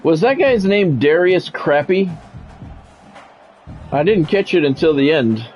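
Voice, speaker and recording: A man's voice: fairly high pitch, animated, unhurried, a little mellow, soft background music. A man doing sports play-by-play commentary in short announcer-style phrases, mixed with casual chat to his viewers. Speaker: live streamer and play-by-play commentator.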